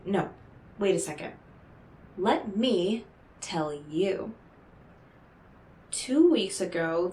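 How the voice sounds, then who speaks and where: A woman's voice: fairly low pitch, a little mellow, reverberant, slight background noise. A woman playing an enigmatic, devilish stranger in a roleplay audio drama, speaking coyly and theatrically to the listener with teasing condescension. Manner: coy, deliberate, theatrical, talking directly to the listener.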